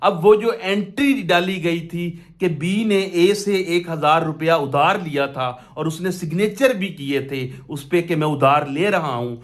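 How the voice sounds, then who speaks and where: A man with a Pakistani accent giving an explanatory law lecture, methodically reading out clauses, repeating key phrases and answering his own questions. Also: sits high. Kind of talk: explanatory lesson, reading statute clauses aloud and explaining them.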